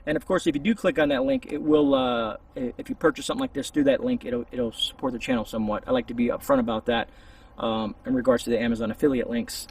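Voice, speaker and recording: A man with a gravelly, husky voice giving a casual, rambling, hesitant product review outdoors, a little distance from the camera. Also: medium pitch, lively intonation, fast, a little mellow, slight background noise. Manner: informal, hesitant, meandering. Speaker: YouTube reviewer. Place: outdoors among trees. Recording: voice distant from the mic.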